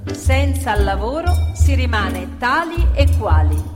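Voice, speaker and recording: A woman's voice: fairly high pitch, very animated, a little slow. An actress playing an earnest working-class woman, reciting and telling fables theatrically. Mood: earnest, declaiming. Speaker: stage actor and narrator. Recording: live hall with long reverb, music. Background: prominent live music.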